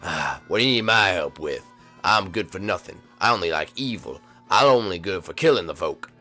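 A man putting on a hulking turtle thug with a low, grumbling voice. He drawls his lines slowly and turns threatening at a moment's notice, with faint music behind. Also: lively intonation, sharp tone, heavily acted. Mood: gruff. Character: gruff lazy male turtle brute.

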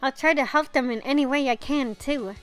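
A woman's voice: high-pitched, a little fast, a bit bright, faint music behind. A woman doing a casual playthrough of a visual novel, reading dialogue aloud and reacting informally. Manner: reactive, informal, mild swearing.

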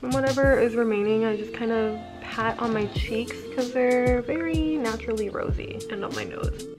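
A woman's voice: fairly high pitch, lively intonation, moderate pace, loud music behind the voice. Nasal young woman with a cold. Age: young adult. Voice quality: slightly nasal. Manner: chatty, walks through each step. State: congested from a cold. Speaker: beauty vlogger. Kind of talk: casual makeup tutorial talk. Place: living room at home.

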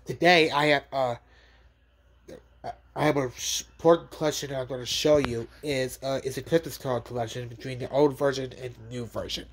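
A man's voice: mid-range voice, steady tone, brisk. A man with a cold, talking casually in a bedroom vlog while showing his DVDs, often repeating words and restarting his sentences. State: has a cold. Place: bedroom, indoors. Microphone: handheld phone pointed at bed.

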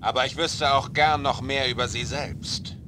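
A man's voice: mid-range voice, fairly even intonation, moderate pace, close to an everyday voice. Guy doing a dry sarcastic soldier, mid voice, noisy game audio. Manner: dry, sardonic. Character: gruff male soldier, dry and wry. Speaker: covert operative. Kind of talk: German game dub. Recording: game audio with background noise.

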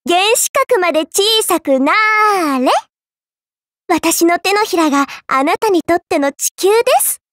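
A woman's voice: very high-pitched, a little slow, fairly bright tone, no echo, clean studio sound. A woman voicing a mischievous, teasing little girl villainess in a mobile game, coy and polite with playful menace. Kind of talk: Japanese mobile game character voice lines.

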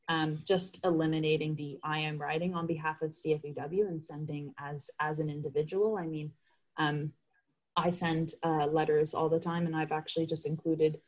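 A woman presenting informally in an online meeting, speaking haltingly with repeated words. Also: low-pitched, rough recording. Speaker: advocacy coordinator leading a meeting. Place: indoors on a group video call. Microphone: computer video call.

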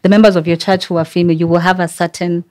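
A woman's voice: fairly low pitch, flat delivery, rapid-fire, darkish tone. A woman in her thirties with a Kenyan accent, hosting a casual podcast conversation in a candid, questioning manner. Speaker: podcast host. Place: small indoor podcast studio. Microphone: close boom-arm studio microphone.

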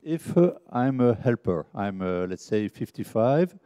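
A man in a conference audience asking a long question, hesitant with many pauses and restarts. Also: mid-range voice, big pitch swings, warm tone, no echo, studio quality.

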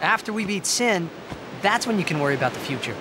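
A young man with an American accent playing an eager, naive teenage hero in a video game cutscene, speaking in an earnest, boyish way. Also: quick pace. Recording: game audio over steady surf-like rumble.

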